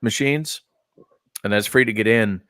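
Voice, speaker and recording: Low-voiced man hosting a chatty podcast. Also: measured pace, fairly bright tone, no room sound, clean studio sound. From Texas. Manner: rambling, many fillers and restarts. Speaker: podcast host. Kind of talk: casual chat about wrestling and gaming.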